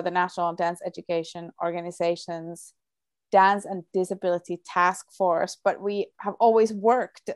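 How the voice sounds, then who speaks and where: A woman's voice: on the low side, no room sound, clean studio sound. A woman hosting a podcast interview, chatty and eager with lots of fillers. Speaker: podcast host.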